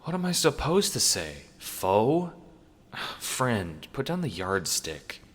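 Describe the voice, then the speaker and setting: A man's voice: medium pitch, big pitch swings, slow pace, big-room sound, voice pushed up. A man voicing a tired, wary, downtrodden woman, a former postmistress, in a matter-of-fact, unimpressed manner for an audio drama. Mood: exasperated. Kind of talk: audio drama character acting.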